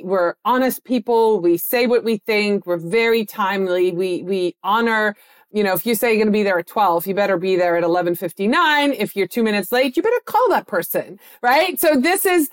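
A middle-aged woman from the Netherlands, an agency owner, talking as a guest in an interview with an animated, enthusiastic manner. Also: medium pitch, moderate pace. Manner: animated, enthusiastic, lively storytelling. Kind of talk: interview conversation.

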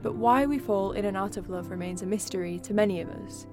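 A woman presenter reads a scripted introduction in a smooth, measured way. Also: medium pitch. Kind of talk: scripted narration. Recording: narration over clear music. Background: music.

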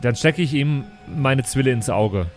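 Man, medium pitch, tabletop gamer, casual improvised chat, soft music in background. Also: unhurried. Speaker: player in a tabletop role-playing game. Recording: faint music behind the voice.

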